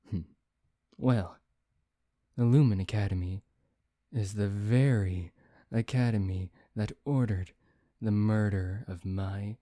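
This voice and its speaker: A man playing a brooding, taunting vampire in an ASMR roleplay, speaking in a theatrical, deliberate, teasing way. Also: sits low, dark tone, no room sound, clean studio sound. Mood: bitter, brooding. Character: brooding, taunting male vampire bent on revenge. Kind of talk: ASMR roleplay, soft dramatic acting.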